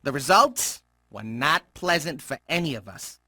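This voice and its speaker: High, husky, nervous cartoon shark. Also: male voice, expressive, bright, forceful tone, no room sound, clean studio sound, exaggerated voice. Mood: nervous, evasive. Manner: halting, self-correcting, nervous. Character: timid, evasive young male shark, nervously gentle. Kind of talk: video game cutscene monologue.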